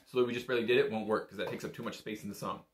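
Youngish guy with a lowish voice, patient casual instructor walking you through it, roomy echo. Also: fairly even intonation, very fast. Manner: encouraging, informal, patient. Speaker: bagpipe teacher. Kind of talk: casual step-by-step instrument lesson. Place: small indoor room. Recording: echoey small room.

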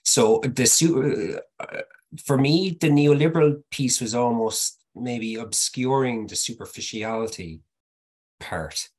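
A man's voice: mid-range voice, slow, slightly rough. A man in his thirties giving academic feedback on a video call, hedging with many qualifiers, from a plain room through a laptop mic. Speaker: discussant.

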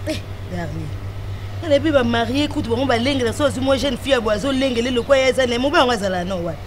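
A woman's voice: fairly high pitch, animated, quick pace, slight background noise, close to an everyday voice. An actress playing an outspoken, scheming young woman advising her friend in filmed theatre dialogue, with a Congolese accent. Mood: disapproving. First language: Lingala. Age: young adult. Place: outdoors in a walled garden.

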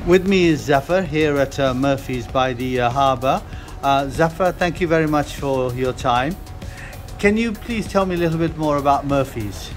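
Relaxed male vlogger over music. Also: mid-range voice, moderate pace. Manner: relaxed, hesitant with frequent "uh" fillers. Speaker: vlogger and interviewer. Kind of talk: casual travel vlog narration.

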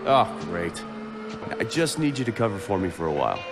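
An actor playing a sly, fast-talking male program who asks for help in a breezy, wheedling way. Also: fairly low pitch, very animated. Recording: voice under loud game music.

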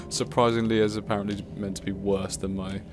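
A man's voice: on the low side, little pitch movement, normal speed. A man casually commentating his gameplay, chatty and self-mocking, swearing at himself when stuck. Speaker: let's play commentator. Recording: game music playing behind.

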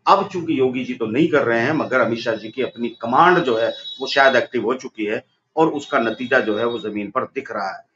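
Middle-aged male pundit on a webcam call, emphatic and insistent, muffled lo-fi audio. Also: normal speed. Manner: forceful, rising to high emphatic peaks. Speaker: political commentator. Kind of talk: animated political debate on a video call. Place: office room, indoors. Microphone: video call webcam. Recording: dull lo-fi call audio with faint noise.

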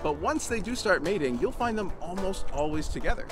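Quick-talking man, outdoor nature guide. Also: sits high, sing-song, over music. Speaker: conservation program manager, nature guide. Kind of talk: informal educational presentation to camera. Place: outdoors in snowy woods.

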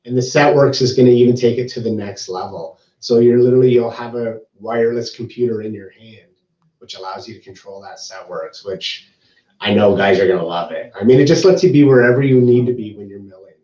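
A man's voice: medium pitch, a little fast, warm tone. A man from a sawmill company talking on a video call, enthusiastic and hands-on, often repeating himself. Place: office room, seated with a colleague. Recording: roomy, dull video call audio.

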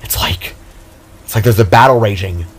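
A man voicing an anxious winged hero in a dramatic story reading, halting and stammering when worried. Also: on the low side, breathy edge. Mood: alarmed, uneasy.

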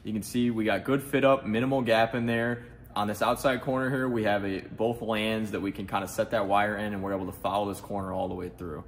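A man's voice: lowish voice, fairly even intonation, brisk, a little hiss or hum. A man in a welding workshop who asks leading questions and then explains the technique step by step in a casual demonstration.

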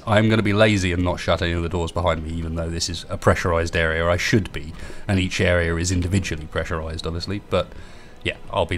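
A young man with a stuffy, sniffly cold, giving a relaxed, rambling tour of his base in a space building game over gameplay. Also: low-pitched. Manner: relaxed, rambling, self-deprecating. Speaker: gaming YouTuber.

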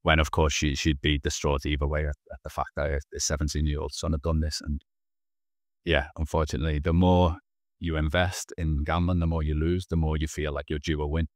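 Very deep, flat-voiced man. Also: fairly quick, no echo, clean studio sound. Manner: earnest, frequent restarts and repeated words. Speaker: podcast guest. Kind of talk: candid personal storytelling. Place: small indoor room. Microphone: video call, wearing headphones.

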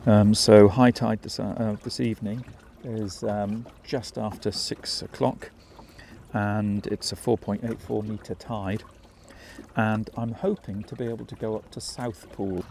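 Easygoing older man with a low voice, filming aboard a small boat. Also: little pitch movement, unhurried, a little mellow. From England. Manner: easygoing, self-mocking, chatty with fillers. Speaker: sailing vlogger. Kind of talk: casual vlog narration. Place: small open sailing boat on an estuary, outdoors. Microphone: action camera mounted on the boat. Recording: clear wind and water noise.

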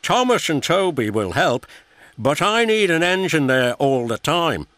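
A man's voice: on the high side, big pitch swings, measured pace, fairly bright tone, no room sound, voice pushed up. A man voicing a stout, kindly but stern railway boss with a hearty, commanding manner. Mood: cheerful. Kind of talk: storybook character voice.

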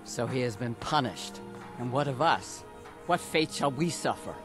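A man playing a gruff, wary orc tribesman in a fantasy video game, guarded and solemn. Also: mid-range voice, animated, measured pace, over music, lightly acted.

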